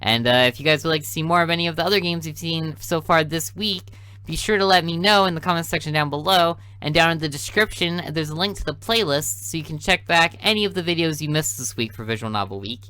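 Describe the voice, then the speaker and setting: A man's voice: fairly high pitch, fast, pushed and bright. A man who is a gaming YouTuber, reading a visual novel aloud with casual, joking commentary.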